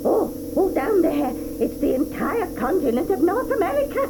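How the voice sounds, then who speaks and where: A woman's voice: very high-pitched, sing-song, moderate pace, noisy background, exaggerated voice. A cranky old grandmother. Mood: panicked. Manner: querulous, fretful exclamations. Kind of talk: studio radio play acting. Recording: music underneath.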